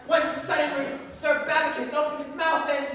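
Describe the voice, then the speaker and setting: A woman's voice: sits high, level tone, moderate pace, a bit bright. A woman playing a dramatic fairy-tale storyteller, narrating in a live puppet show. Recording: echoey hall, distant mic, background noise.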